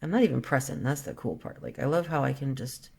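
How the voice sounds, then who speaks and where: Gravelly, mellow woman chatting while drawing. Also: rumbling low, quick pace. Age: 40s. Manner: chatty, thinks aloud, trails off mid-sentence; relaxed, self-talk about the colors. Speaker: art vlogger. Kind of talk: casual chat while drawing.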